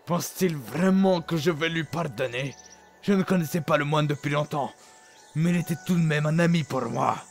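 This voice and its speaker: Man as an earnest, loyal adventuring brute, highish voice. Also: moderate pace, gravelly, soft background music. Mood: indignant. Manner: earnest, formal phrasing. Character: loyal, vengeful male brute of an adventuring band. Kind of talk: scripted amateur audio drama.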